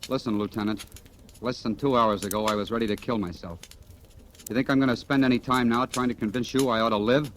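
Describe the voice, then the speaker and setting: A man's voice: medium pitch, fairly even intonation, fast, slight background noise, lightly acted. An actor playing an embittered, despairing young American man, bitter and intense, in a 1940s radio drama. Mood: bitter, defiant. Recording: vintage 1940s radio recording, music underneath.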